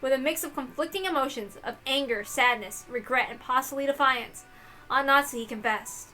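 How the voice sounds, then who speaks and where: Female narrator for a dramatic visual novel read-through, high-pitched and bright, slight noise on the recording. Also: moderate pace, soft background music, rough recording.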